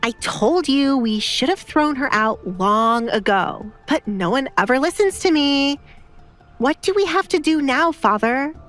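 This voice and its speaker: A woman's voice: high-pitched, expressive, a little slow, fairly bright tone, faint music behind, voice pushed up. A woman voicing a spoiled, indignant young noblewoman with a whining, petulant manner in an audiobook drama. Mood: aggrieved. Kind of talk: audiobook drama character acting.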